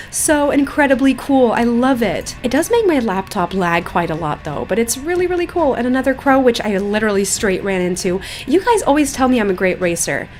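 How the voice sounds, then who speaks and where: Young female gaming creator, higher-pitched, enthusiastic and gushing, light game music underneath. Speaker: gaming video creator, host. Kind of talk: gameplay commentary, reading story dialogue aloud. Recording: music behind the voice.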